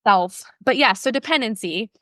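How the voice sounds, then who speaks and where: An American woman, a therapist guest on a podcast, explaining ideas in an informal, animated conversational way. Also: on the high side, moderate pace, dry recording, studio quality. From New Orleans, United States.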